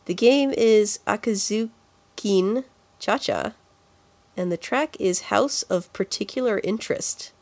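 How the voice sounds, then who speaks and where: A woman's voice: mid-range voice, takes it slowly, darkish tone, dry recording. A woman with a congested, nasal voice co-hosting a podcast in a casual, chatty style. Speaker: podcast co-host. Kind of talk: casual video game music podcast chat.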